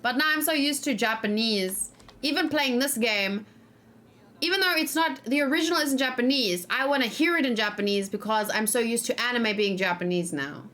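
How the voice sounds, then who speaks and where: A woman doing casual let's play commentary, chatty and expressive, thinking out loud and reading game text aloud. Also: a little high, normal speed.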